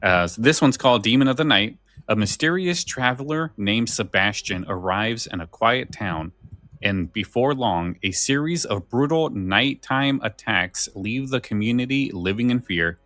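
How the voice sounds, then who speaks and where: A man co-hosting a podcast, moving between casual chat and read-out story summaries, sometimes halting in his phrasing. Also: mid-range voice, animated, fairly bright tone, no room sound. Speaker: podcast co-host.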